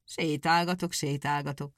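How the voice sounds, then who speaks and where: A woman voicing a small, crooked-legged male hedgehog, sly and quick to take offense, with a folksy, stubborn way of talking. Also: deep voice, steady tone, a bit bright, no room sound, clean studio sound.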